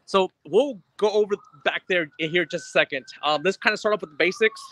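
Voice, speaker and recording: A man's voice: high-pitched, brisk, a bit bright. A man on a video call showing his fishing gear outdoors at night, rambling with lots of "um" and casual swearing. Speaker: guest angler.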